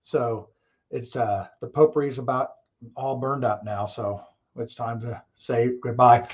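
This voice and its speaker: A man's voice: mid-range voice, steady tone, measured pace, breathy edge, lo-fi sound. A man hosting a casual talk show, rambling along in a folksy way with frequent chuckles.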